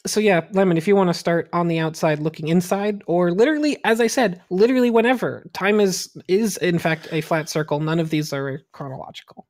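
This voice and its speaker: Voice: medium pitch, fairly quick, few pauses. A podcast host chatting casually while handing out turns to readers. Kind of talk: casual chat, organising readers.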